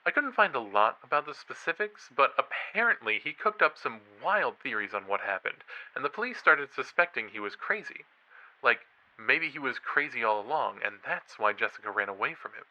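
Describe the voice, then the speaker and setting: A man's voice: mid-range voice, big pitch swings, normal speed, pushed and bright, highs cut off. A man playing an eager college student and true crime podcaster, telling stories in a dramatic, playful way in an audio drama. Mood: animated, conversational. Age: young adult. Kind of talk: audio drama acting, storytelling.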